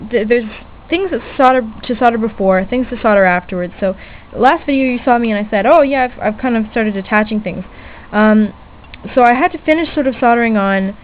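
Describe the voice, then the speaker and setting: A woman giving a casual, unscripted hobby demonstration, chatty and hesitant, often correcting herself as she goes. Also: medium pitch. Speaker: DIY electronics hobbyist presenter. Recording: tinny lo-fi webcam-era audio, background noise.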